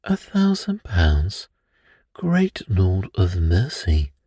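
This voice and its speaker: A man voicing an excitable, humble Victorian commissionaire in a bedtime story, deferential and amazed. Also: deep voice, drawn-out words, dry recording, clean studio sound, exaggerated voice. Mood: awed.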